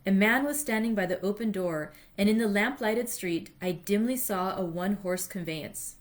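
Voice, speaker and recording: A woman's voice: mid-range voice, normal speed. Chatty woman hosting a book read-aloud. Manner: informal, self-deprecating asides. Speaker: library read-aloud host. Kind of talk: chatty introduction, then literary audiobook-style reading.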